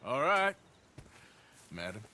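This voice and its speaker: A man's voice: mid-range voice, big pitch swings, slow pace, exaggerated voice. A man playing a plain-spoken, wry American outlaw gunman in a video game, with a dry manner. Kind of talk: video game cutscene acting. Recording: loud background noise.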